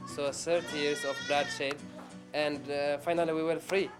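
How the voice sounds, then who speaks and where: A man giving interview answers as a community representative, in halting English. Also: on the high side, level tone, music in the background. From Eritrea.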